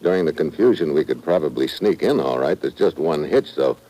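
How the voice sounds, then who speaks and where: An actor playing a tough, wisecracking American secret agent who narrates and acts in clipped slang in an old-time radio thriller. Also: male voice, rumbling low, animated, brisk, mellow. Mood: shrewd. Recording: noisy old radio drama recording.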